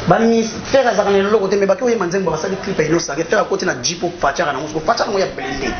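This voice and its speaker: A man's voice: high voice, very fast, background noise. A young man with a Congolese accent, arguing emphatically and repetitively in a casual interview. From Democratic Republic of the Congo. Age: young adult. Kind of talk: animated casual debate. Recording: dull, roomy sound, voices around.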